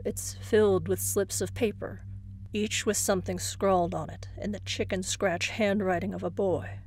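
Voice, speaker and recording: A woman's voice: mid-range voice, steady tone, normal speed, a little mellow, slight background noise, low-quality recording. A woman narrator reading a suspenseful scripted horror story.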